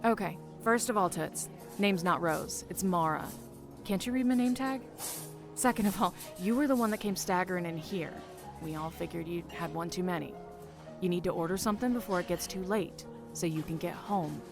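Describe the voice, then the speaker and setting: Casting: actress as a brash, sarcastic waitress for audio horror drama, low-pitched with a creaky edge, over prominent music. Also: female voice, normal speed, natural voice. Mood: sarcastic, irritated. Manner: sassy, slangy, clipped. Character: brash, impatient diner waitress, sarcastic and suspicious.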